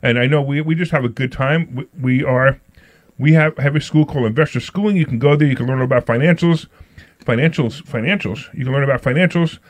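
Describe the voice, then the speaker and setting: A man's voice: mid-range voice, brisk. A man hosting a financial talk radio show, joking and rambling, repeating himself as he goes. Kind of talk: casual talk radio banter. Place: radio studio.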